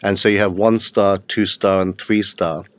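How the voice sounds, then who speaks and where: A man in his fifties, a guest in a podcast interview, telling his story in a steady, matter-of-fact way with the odd hesitant "uh.". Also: sits low, normal speed, clean tone. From England. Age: 50s. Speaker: guest, executive coach.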